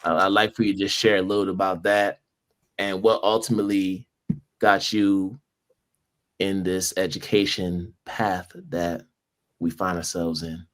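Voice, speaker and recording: Male podcast host, low voice, upbeat and welcoming, conversational. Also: little pitch movement, unhurried, a bit bright. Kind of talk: conversational interview.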